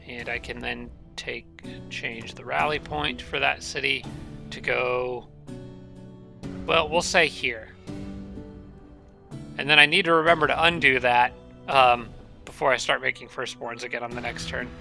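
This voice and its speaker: A man casually commentating a strategy game, thinking aloud and correcting himself, his voice a little raspy and nasal from allergies. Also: medium pitch, steady tone, a little slow, pauses often, pushed and bright, soft background music. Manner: thinking aloud, rambling with restarts.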